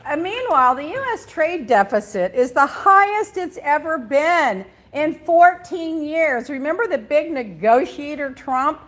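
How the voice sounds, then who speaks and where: A chatty middle-aged woman hosts a talk show in a high, bright-pitched voice. She moves fast from gossip to news and drops blunt opinions and playful asides along the way. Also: expressive. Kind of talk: casual gossip and news chat.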